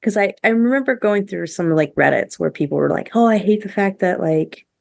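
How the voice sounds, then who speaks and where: A woman's voice: medium pitch, lively intonation, a little fast, vocal fry, no room sound, clean studio sound. A woman podcast host chatting casually and giving her opinions, with a lot of "like" thrown in. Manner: chatty, heavy use of "like", opinionated. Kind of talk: casual review chat among co-hosts.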